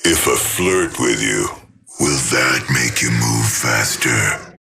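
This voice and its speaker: A murderous male robot with a gravelly, impossibly deep voice. He speaks slowly and mockingly, and the sound has a hard, sharp edge. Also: lively intonation, faint music behind, heavily acted. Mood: taunting. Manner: mocking, taunting.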